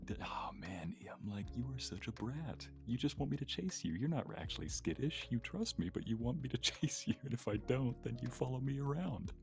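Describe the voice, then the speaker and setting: A man's voice: mid-range voice, animated, a little fast, gravelly, few pauses, music in the background. A man chatting casually as a guest voice actor on a livestream.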